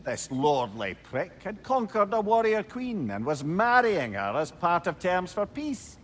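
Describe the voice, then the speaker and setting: Game role: witty old Scottish rogue, raised pitch, very lively swings, genial and wordy, some noise behind. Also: male voice, full character voice. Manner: wry, loquacious, old-fashioned wording. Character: genial, witty old severed head, former fairy trickster. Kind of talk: video game dialogue, storytelling banter.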